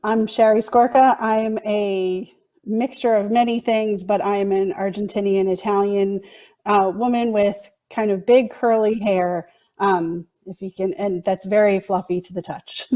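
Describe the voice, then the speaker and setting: Woman panelist, medium pitch, conversational. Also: steady tone, measured pace, warm tone. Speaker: executive producer, panelist.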